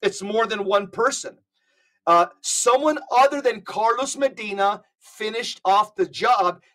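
A man's voice: high-pitched, a little slow, pushed and bright, rough recording. Earnest, hard-hitting male podcast guest. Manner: earnest, repeats and restarts phrases. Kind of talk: emphatic conversational commentary. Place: California.

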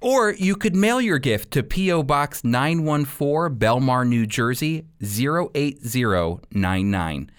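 A man's voice: mid-range voice, very animated, measured pace, few pauses, clear, resonant tone. A man hosting a radio show and teaching the Bible, emphatic and repeating key points for stress.

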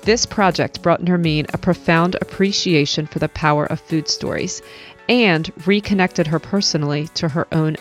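A woman hosting a recipe podcast, reading an enthusiastic introduction and then interviewing her guest. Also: sits low. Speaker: podcast host.

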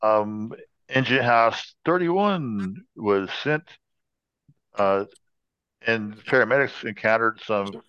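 A man's voice: fairly low pitch, slow, pauses often, fairly bright tone, clear, resonant tone, no echo, highs cut off. An American man co-hosting a podcast in casual chat, tossing in playful, joking asides. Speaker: podcast co-host. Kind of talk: casual chat and informal news retelling.

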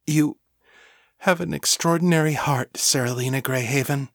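Male narrator as protective noblewoman, middle pitch, firm, compassionate, fantasy audiobook. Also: unhurried, fairly bright tone, no room sound, clean studio sound, close to an everyday voice. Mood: tearful, tender. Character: Miriam, kind, firm, protective mistress, woman.

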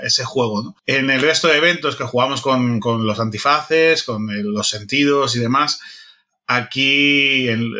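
A man with a bright, forceful voice and an accent from Spain, speaking casually as a guest. Their delivery is halting, with words doubled while searching for a phrase. The pitch sits in a middle male range. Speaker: podcast guest, audio drama writer-director. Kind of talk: casual talk recounting an event.

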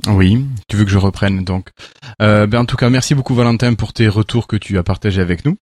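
A man co-hosting a casual podcast, briskly leading into segments. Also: on the low side, darkish tone, dry recording, clean studio sound. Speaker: podcast co-host.

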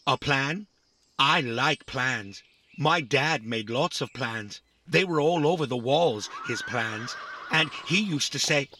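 An actor playing a naive, chatty, easily offended little robot in a children's audio drama, rambling excitably. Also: male voice, mid-range voice, takes it slowly, slight background noise. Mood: eager. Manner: rambling, excitable, adds tag questions.

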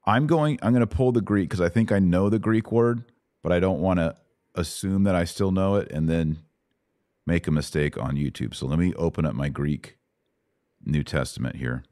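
A man with a very low voice teaches scripture in a conversational podcast style. He explains patiently in steps and checks in with short tag questions. The sound is dry and close, without room reflections. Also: moderate pace, dark tone, no room sound. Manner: explanatory, checks understanding with rhetorical tags. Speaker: host and Bible teacher answering questions.

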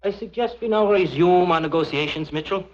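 An actor playing a suave, menacing foreign spy who speaks with formal, mock-courteous precision in an early 1950s TV drama. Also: male voice, sits high, fairly quick, lightly acted. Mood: threatening. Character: suave, menacing male foreign spy villain, coldly polite. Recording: muffled vintage 1950s TV soundtrack.

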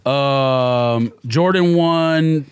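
A man's voice: mid-range voice, very slow. A man in a casual panel chat, bantering and defending his opinions in slangy, argumentative speech. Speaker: sneaker podcast panelist.

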